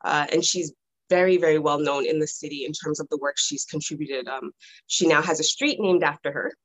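A woman's voice: deep voice, a little fast, poor audio. A middle-aged woman from Toronto, a podcast guest introducing herself in chatty, casual talk with many ums and laughs. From Toronto, Canada.

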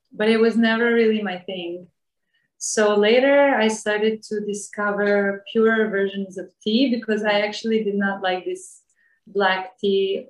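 Woman from Bosnia, thirties, English, very roomy echo, medium pitch, reflective interview talk. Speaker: interview guest. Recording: echoey room sound.

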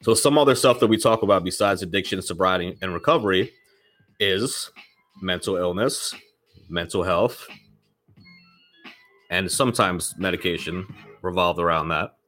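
A man's voice: low voice, moderate pace, pauses often, fairly bright tone, soft background music. A man hosting his own podcast in loose, rambling casual talk, swearing freely and sounding slightly nasal from a lingering cold. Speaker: podcast host.

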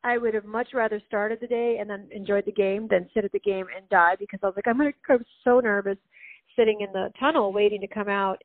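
A woman singer, a guest in a casual interview, talking in a chatty way with fillers and frequent laughs; she says her voice is low. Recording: phone-like narrow sound.

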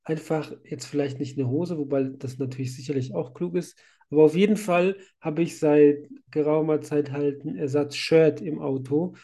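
Chatty, mellow-voiced man with a cold. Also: a little high, fairly even intonation, moderate pace, creaky. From Germany. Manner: chatty, laughs often, rambling. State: has a cold. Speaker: podcast host, site manager. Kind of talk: casual podcast chat.

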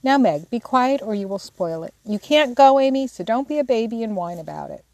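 A woman's voice: medium pitch, moderate pace, warm tone, no echo, lightly acted. A woman voicing an impatient, hot-tempered teenage elder sister in a storybook, brusque and scolding.

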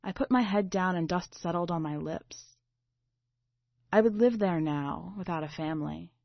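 Low-voiced woman reading literary fiction, roomy sound. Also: normal speed, gravelly. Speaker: story reader. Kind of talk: literary short story narration. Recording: slightly dull, boxy roomy recording.

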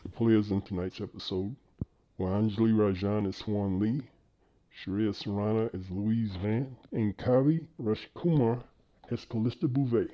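Guy narrating an audio play, lowish voice, bit of a muffled lo-fi recording. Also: fairly even intonation, measured pace. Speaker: narrator and announcer. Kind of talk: scripted audio drama narration. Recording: slightly dull, lo-fi recording.

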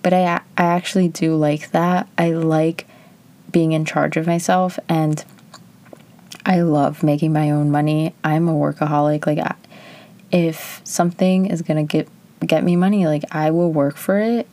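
Casting: woman, early twenties, low voice, candid and chatty vlog delivery. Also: fairly even intonation, measured pace, warm tone, dry recording. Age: 20s. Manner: chatty, candid, frequent "like" fillers. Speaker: vlogger. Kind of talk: casual personal reflection.

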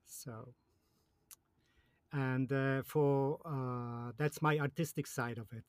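Man, Polish accent, fifties, halting, many fillers, dry close sound. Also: medium pitch, fairly even intonation, clear, resonant tone, no room sound. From Poland. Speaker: guest. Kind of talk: casual interview.

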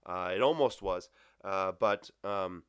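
Lowish-voiced man lecturing, lots of uhs. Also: takes it slowly, clear, resonant tone, no room sound, clean studio sound. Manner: filler-heavy, casual, frequent uhs and restarts. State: congested from allergies, slightly nasal and raspy. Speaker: history teacher. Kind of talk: recorded lecture.

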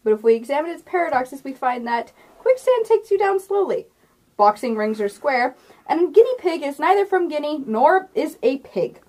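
A woman's voice: sits high, sing-song, moderate pace, a little mellow. A giggly, playful young woman talking casually as game master on a tabletop game podcast among friends.

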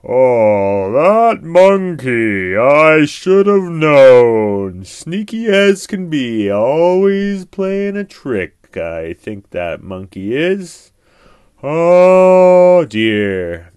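A storyteller acts out a tired old male lion from a children's storybook. He grumbles mildly in slow, swooping, drawn-out exclamations, loud and bemused, with a close, dry sound. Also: mid-range voice, big pitch swings, clean tone, no echo, pitched above the performer's own voice. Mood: resigned grumbling.

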